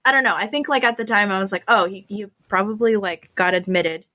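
A woman's voice: mid-range voice, brisk, bright, forceful tone. A woman guest on a podcast, chatting casually in a hesitant, self-deprecating way. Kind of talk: casual chat.

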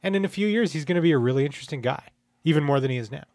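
A man's voice: a little high, lively intonation, rapid-fire, a little mellow, no room sound. A man co-hosting a podcast, giving his opinions emphatically in casual conversation. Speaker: podcast co-host.

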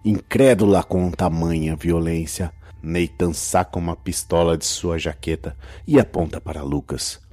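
A man with a Brazilian accent and a husky voice, narrating a scripted horror audio drama. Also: deep voice, steady tone, a little slow, darkish tone, dry recording.

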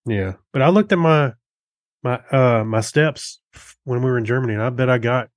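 A man's voice: mid-range voice, moderate pace, no room sound. Easygoing American man, dry close sound. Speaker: podcast co-host. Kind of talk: casual chat.

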